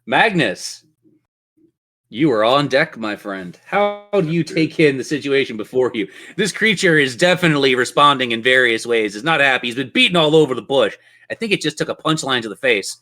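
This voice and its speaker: A man with a bright, energetic edge to his voice acts as game master. He narrates a tabletop fantasy game in vivid, playful detail, at a middling pitch. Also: normal speed.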